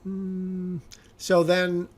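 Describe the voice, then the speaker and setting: A screenwriter thinking aloud about his draft, hesitant and self-correcting, his voice a little raspy today. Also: high-pitched, monotone, drawn-out words. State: slightly raspy voice. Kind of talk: thinking aloud while reviewing a script.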